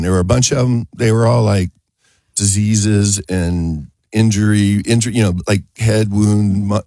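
A man's voice: lowish voice, dark tone, no echo. A man hosting a gardening radio show while getting over a cold, chatting casually and joking between chuckles. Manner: joking, rambling, chuckles often. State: has a cold.

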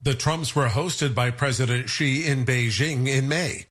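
A man reading scripted news narration in a measured, even newsreader manner. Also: mid-range voice. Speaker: news anchor.

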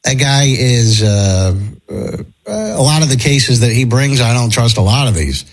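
A male morning radio host who is losing his voice, clearing his throat and giving strong opinions. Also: medium pitch, big pitch swings, unhurried.

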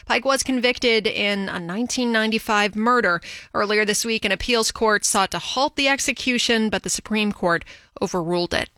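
A woman's voice: mid-range voice, normal speed, pushed and bright, no room sound, studio quality. A woman anchor reading scripted news on the radio.

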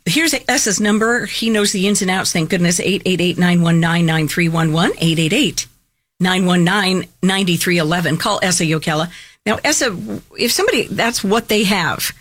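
Talk-radio host, middle pitch, chatty with quick back-and-forth. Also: fairly bright tone.

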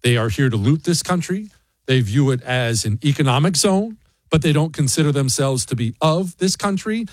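A man's voice: fairly high pitch, animated, normal speed, no echo. A man hosting a talk show, delivering blunt, mocking opinion segments and answering listener emails.

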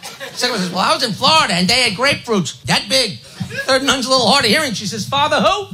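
A man telling stand-up jokes in a punchy, clipped style, shouting out the dialogue and punchlines. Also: very high voice, animated, fast, very noisy. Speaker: stand-up comedian.

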